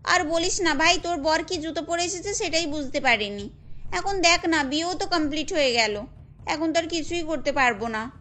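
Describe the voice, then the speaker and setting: Woman playing very high-pitched bossy young schemer. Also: normal speed, pushed and bright. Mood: exasperated.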